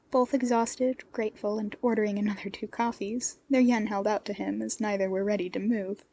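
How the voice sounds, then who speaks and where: A woman narrating a story in an expressive, scripted reading style. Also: on the high side, breathy edge. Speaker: podfic narrator. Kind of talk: expressive scripted fiction narration with character voices.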